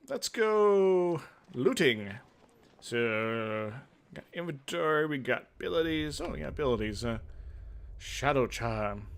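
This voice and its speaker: Animated man narrating a video game. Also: medium pitch. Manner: playful, sing-song humming between readings. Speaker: gaming video host. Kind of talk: dramatic reading of game text with casual asides.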